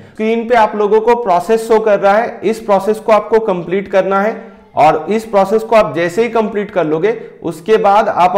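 A man in his thirties walking viewers through a software tutorial step by step, speaking directly to them. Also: high-pitched, echoey room. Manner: steady step-by-step explaining, addresses viewers directly. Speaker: tech tutorial host. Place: indoor room, screen-recording overlay.

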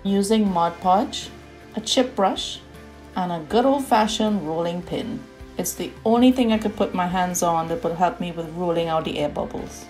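A woman from Trinidad and Tobago with a Trinidadian accent, calmly narrating her own DIY home decor project in a scripted voice-over. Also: lowish voice, moderate pace, soft background music. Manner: calm, personal and explanatory.

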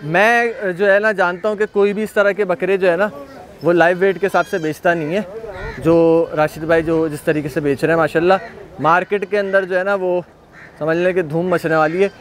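A man's voice: high voice, fairly even intonation, moderate pace. A man hosting an on-site walkthrough of livestock, chatting casually and repeating praise often. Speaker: livestock video host. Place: outdoor goat pen at a farm.

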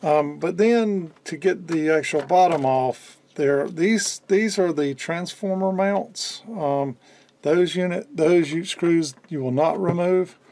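A man in his fifties giving a casual hands-on demonstration at a workbench indoors, explaining in a halting way as he thinks aloud. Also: on the high side, darkish tone. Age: 50s. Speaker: electronics restorer and presenter.

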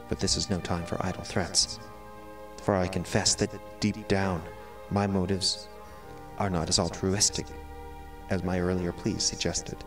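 An actor playing an eloquent, melodramatic cat who sees himself as a prisoner, speaking in formal, florid, theatrical monologue. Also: male voice, low voice, recorded from a distance. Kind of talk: dramatic first-person audio drama monologue. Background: music.

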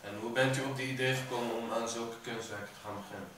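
A man's voice: medium pitch, fairly even intonation, fast talker, fairly bright tone. A young man asking simple questions as an interviewer. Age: young adult. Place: indoor art gallery with bare floor. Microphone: camera a few metres away. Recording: echoey room, distant mic, clear background noise.

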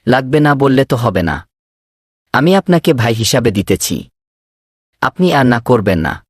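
A man voicing a generous middle-aged father in a children's cartoon, hospitable and gently insistent. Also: medium pitch, lively intonation, unhurried, breathy edge, no room sound, clean studio sound. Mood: gently persuasive. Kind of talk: children's moral cartoon dubbing.

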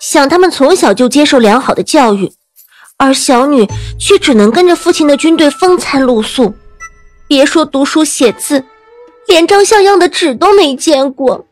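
An actress playing a cheeky, quick-witted young student in a period audio drama, polite and mock-earnest. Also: female voice, sits high, lively intonation, soft background music. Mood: mock pitiful.

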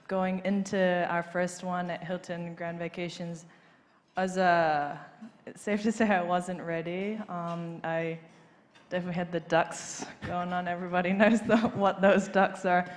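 A young woman in her twenties talking casually on stage into a handheld mic, relaxed, with lots of fillers and light laughs. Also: fairly low pitch, unhurried. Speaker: golfer being interviewed on stage. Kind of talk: casual interview. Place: large banquet hall stage. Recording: reverberant hall, faint noise.

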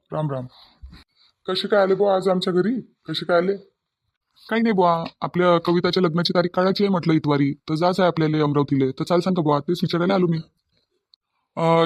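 A man's voice: high-pitched, fairly quick, lightly acted. A man voicing a practical village farmer in a cartoon, folksy and repetitive, with a Varhadi Marathi accent. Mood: neighbourly. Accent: Varhadi (Vidarbha) Marathi.

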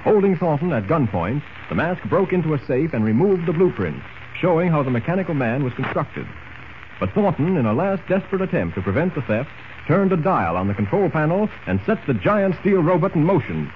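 A dull, faintly hissing vintage radio recording carries a male narrator with a fairly high, very animated voice. He tells the serial with dramatic urgency. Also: fairly quick. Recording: muffled, hissy old radio recording.

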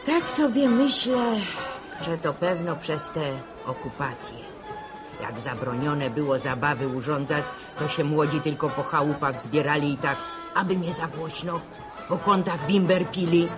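A woman's voice: low voice, expressive, a little slow, music in the background. An actress playing a grumbling, nostalgic middle-aged village mother in a radio drama, with a sighing manner.

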